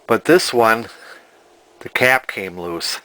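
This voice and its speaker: Man, 60s, low voice, hesitant and rambling, casual workshop host talking from behind the camera. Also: expressive, slow, sharp tone, poor audio. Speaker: vintage TV restorer, video host. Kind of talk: casual spoken workbench vlog. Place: cluttered indoor home workshop.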